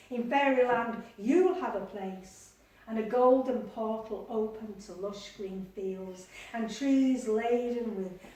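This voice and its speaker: A woman reading aloud as a gentle, welcoming fairy voice that offers shelter. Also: fairly high pitch. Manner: gentle, soothing. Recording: distant voice in a very echoey room.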